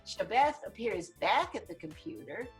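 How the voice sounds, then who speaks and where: A woman's voice: medium pitch, big pitch swings, normal speed, soft background music. A woman narrating the stage directions of a screenplay in a scripted table read over a video call. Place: online video call reading.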